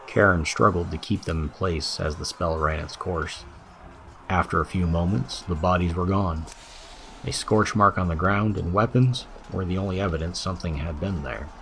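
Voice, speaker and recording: A man's voice: low-pitched, a bit bright. A man giving a scripted narration of a fantasy audiobook as its narrator.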